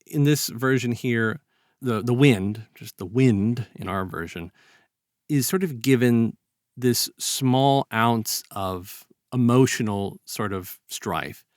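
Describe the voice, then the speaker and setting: A man talks as a podcast host in a close, dry recording. His delivery is halting and conversational, with a medium-pitched voice. He reflects casually on what he reads and animates his retellings with acted-out quotes. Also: dry recording. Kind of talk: casual reflective literary discussion.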